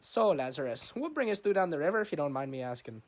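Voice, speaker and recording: A man doing a gabby, bearded old riverboat captain who won't stop prying. He speaks in a put-on brogue, pitched up high, and rambles along, tacking "you know" onto nearly every sentence. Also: very animated, quick pace. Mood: jovial. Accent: put-on Scottish-like brogue.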